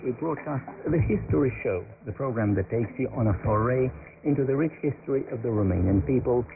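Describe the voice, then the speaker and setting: A man's voice: medium pitch, brisk, creaky. A man announcing radio programmes from a script, heard over shortwave radio. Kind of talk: scripted programme promo narration. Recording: noisy narrow-band shortwave radio broadcast.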